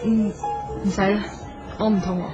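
A woman's voice: medium pitch, level tone, normal speed, lightly acted. A woman with a Hong Kong Cantonese accent acting a proud, curt young designer in a radio drama. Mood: guarded, curt. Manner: curt, aloof. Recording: dull audio with music underneath.

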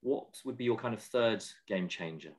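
Low-voiced male host interviewing over video call. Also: normal speed. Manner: encouraging, many affirming yeses. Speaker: host and exam tutor.

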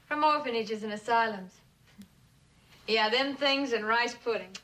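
Brash high-voiced American teenage orphan. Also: female voice, a little slow, a bit bright. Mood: wry, defensive. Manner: slangy, wisecracking. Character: brash, streetwise eighteen-year-old orphan girl, flippant and defensive. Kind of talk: 1960s television suspense drama acting. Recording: noisy vintage TV drama soundtrack.

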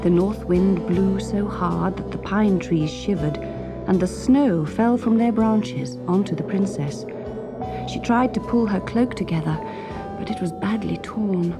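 A woman with a British accent reading a children's story as an expressive narrator. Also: medium pitch, unhurried, over loud music.

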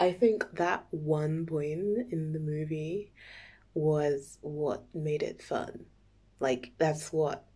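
Woman acting as a nervous young date, breathy, slow-paced, teasing, giggly, intimate ASMR roleplay. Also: low-pitched, heavily acted. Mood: casual, teasing. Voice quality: soft, breathy, often dropping to a whisper.

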